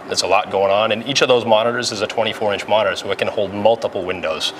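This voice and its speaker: Male supervisor, fairly deep voice, informal step-by-step explainer, recorded with background ambience noise. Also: fairly even intonation, fast talker. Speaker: police dispatch supervisor. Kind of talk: explanatory interview and facility tour. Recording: clearly noisy room ambience.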